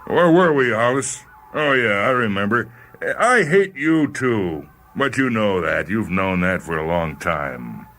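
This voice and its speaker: A man's voice: fairly low pitch, sing-song, measured pace, hardly any pauses, fairly bright tone, slight background noise, pitched above the performer's own voice. A man voicing a bitter, mutinous spaceman who sneers and goads in a dramatized story reading. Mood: spiteful. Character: bitter, taunting, mutinous male spaceman on radio.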